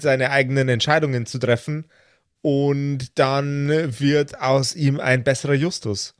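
A man in a reflective podcast discussion, speaking in a halting, analytical way. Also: medium pitch, no room sound, clean studio sound.

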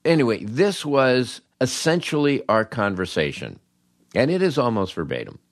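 An older American man hosting a podcast, telling humorous anecdotes in a conversational, wry and animated way. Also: medium pitch, moderate pace, dry recording, clean studio sound. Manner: wry, animated, acts out dialogue in his stories. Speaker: podcast host.

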